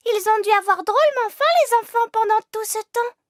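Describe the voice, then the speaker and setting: A woman's voice: extremely high pitch, a little fast, no echo. A woman voicing a cheerful, chatty little fairy princess girl in a French cartoon dub. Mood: curious. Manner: chatty, pleading, bubbly.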